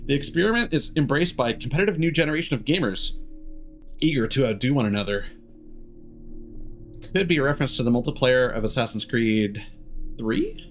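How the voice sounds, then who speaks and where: A man's voice: medium pitch, lively intonation, a little fast, pauses often. A man streaming a game, reading text aloud and adding cheeky, sardonic asides. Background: music.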